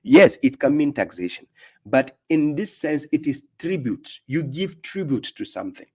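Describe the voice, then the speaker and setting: A man's voice: on the high side, very animated, moderate pace, dark tone, slightly rough. A man from Ghana with a Ghanaian accent, giving informal religious teaching as a preacher, emphatic, repeating himself and often checking that listeners follow. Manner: emphatic, repeats phrases, often asks "do you get my point?". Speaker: Islamic preacher.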